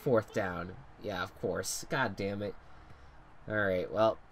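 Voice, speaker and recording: Congested young male gamer, low-pitched, loose and profane, repeats himself a lot. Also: takes it slowly, a little hiss or hum. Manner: rambling, swears casually, repeats words. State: congested, stuffy nose. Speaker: gaming commentator. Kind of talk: casual commentary while playing a football video game.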